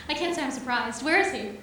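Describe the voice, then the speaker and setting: A woman's voice: sits high, lively intonation, moderate pace, sharp tone, slight background noise. A woman playing a skeptical, troubled geneticist in a staged musical, questioning what she is told. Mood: uneasy. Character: skeptical, troubled pioneering geneticist mother woken from cryo-sleep. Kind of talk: staged musical theater acting. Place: theater stage, piano music behind. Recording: echoey theater from far off, music behind.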